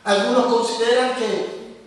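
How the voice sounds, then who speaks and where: High-voiced pastor preaching in echoey hall. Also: male voice, steady tone, unhurried, distant mic. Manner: conversational preaching, addresses listeners directly. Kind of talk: sermon. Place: church hall.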